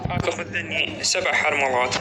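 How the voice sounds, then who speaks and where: Man doing a high, fast-talking Iraqi grandma, stubborn and dismissive, for a cartoon. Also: close to an everyday voice. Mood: bossy. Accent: Iraqi Arabic. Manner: bossy, dismissive, brushes off every complaint. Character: stubborn old grandma pushing home remedies. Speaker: voice actor. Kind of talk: comedic cartoon voice acting. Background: loud music.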